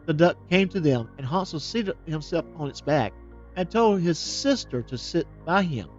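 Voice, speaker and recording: A man reading a fairy tale aloud as a storyteller, expressive, with small slips and restarts. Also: a little high, normal speed, highs cut off, soft background music.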